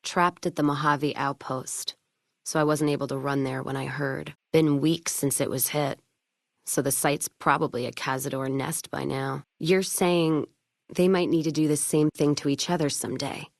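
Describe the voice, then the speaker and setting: A woman's voice: low voice, no room sound, studio quality. A woman playing a hard-drinking, blunt caravan boss from a post-apocalyptic western video game, with a wry frontier way of talking. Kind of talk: video game character dialogue.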